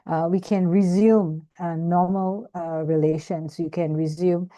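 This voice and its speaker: A woman's voice: lowish voice, steady tone, unhurried, dark tone, dry recording. An older activist woman from Malaysia speaking on a video call webinar, hesitating often with ums and restarts. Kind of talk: casual presentation over video call.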